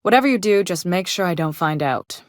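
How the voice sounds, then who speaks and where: An actress playing a capable, no-nonsense chief engineer and station captain in a video game, with a measured, dry, businesslike manner. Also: female voice, lowish voice, lively intonation, dry recording, natural voice. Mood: wry, stern. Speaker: game character voice. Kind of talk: video game character dialogue.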